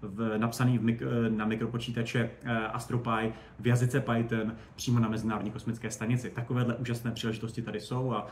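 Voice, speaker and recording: A man's voice: medium pitch, level tone, hardly any pauses, slight background noise. A man giving conversational, enthusiastic and discursive answers as a guest expert on spaceflight.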